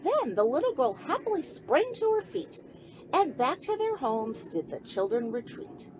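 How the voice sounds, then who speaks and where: A woman reading a rhyming children's story aloud in an expressive, sing-song way, speaking directly to young listeners. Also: sits high, unhurried, slight background noise, rough recording.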